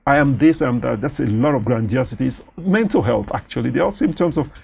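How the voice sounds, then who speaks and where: A man with a Nigerian accent whose first language is Yoruba, talking as a doctor in a studio interview, animated and quick to laugh. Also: mid-range voice, creaky, husky. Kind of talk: conversational interview.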